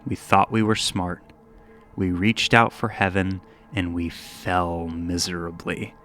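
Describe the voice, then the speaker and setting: A man with a low voice delivers a video essay in a musing, dramatic way. He slips from scripted narration into casual, wry asides. There is some noise behind him. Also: a bit bright.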